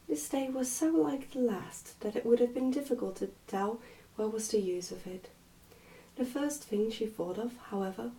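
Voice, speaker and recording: A soft-spoken woman, often close to a whisper, reading a book aloud in an ASMR style. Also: medium pitch, recorded from a distance, slight background noise, poor audio. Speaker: ASMR reader and host.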